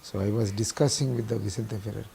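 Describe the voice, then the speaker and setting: A man with an Indian accent, speaking in a halting, deliberate way as he reflects in an interview. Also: fairly low pitch, steady tone, gravelly, dark tone, a little hiss or hum. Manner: halting, deliberate, pausing mid-sentence. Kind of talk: documentary interview, reflective recollection.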